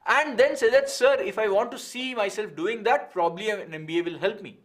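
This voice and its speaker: A man's voice: sits high, fairly quick. A man with an Indian accent teaching a live online coaching session, explaining frankly with rhetorical questions and answering viewers. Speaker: exam coaching instructor.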